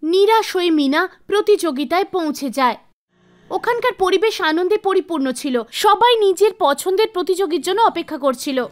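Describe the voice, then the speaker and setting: A woman reading scripted narration for a children's animated story. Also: very high-pitched, normal speed. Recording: music behind the voice. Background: background music.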